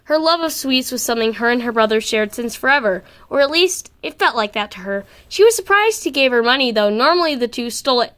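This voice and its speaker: A woman's voice: fairly high pitch, animated, a little fast, few pauses, fairly bright tone, clean tone. A woman narrating a story expressively as an amateur reader, sometimes stumbling and rereading words.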